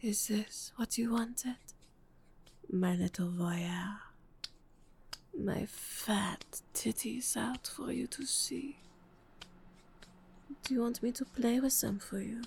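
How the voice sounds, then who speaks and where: A woman whispering a teasing, breathy roleplay, slipping in French endearments and soft laughs. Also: a little high, steady tone, slow, long pauses, a little hiss or hum. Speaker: audio roleplay performer.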